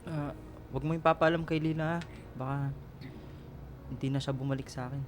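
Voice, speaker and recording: A man's voice: fairly high pitch, fairly even intonation, a little fast, soft background music, natural voice. A man playing an earnest, anxious young patient in a radio drama, speaking politely and hesitantly. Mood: pleading, subdued.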